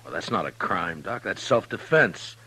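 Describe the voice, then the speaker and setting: An actor playing a steady, grave, plain-spoken frontier lawman in an old-time radio western, halting and understated. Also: low-pitched, slight background noise, full character voice. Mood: troubled, grave.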